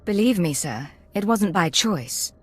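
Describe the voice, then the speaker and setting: Composed imperial agent. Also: female voice, on the low side, expressive, a little slow, husky. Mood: cool, composed. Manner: cool, polite, formal. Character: composed, dutiful young female imperial agent. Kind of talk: video game cutscene acting.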